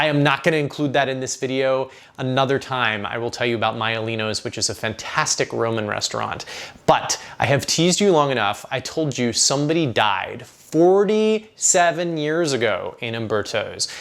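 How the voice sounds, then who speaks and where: A man with a middle-pitched voice hosts a food vlog. He talks in a casual, conversational way straight to the audience. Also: fairly bright tone. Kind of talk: casual vlog talk to viewers.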